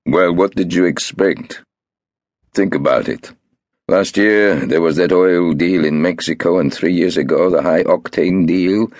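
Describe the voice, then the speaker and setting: A man voicing a sick, ruthless old male tycoon who speaks in a cold, measured way. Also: sits low, darkish tone, no echo, clean studio sound.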